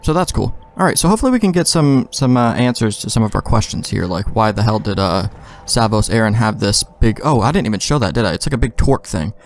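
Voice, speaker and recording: A man's voice: fairly low pitch, animated, quick pace, few pauses, faint music behind. A man doing casual gameplay commentary, thinking aloud in informal, mildly profane language. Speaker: let's play host.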